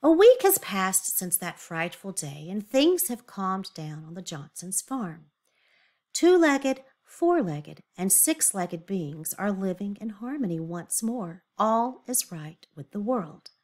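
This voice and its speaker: Female storybook reader for young children: animated, slow-paced, expressive, with confiding asides. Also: medium pitch. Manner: animated, with emphatic drawn-out words and confiding asides. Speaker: children's read-aloud teacher. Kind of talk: expressive picture-book read-aloud with questions for young children.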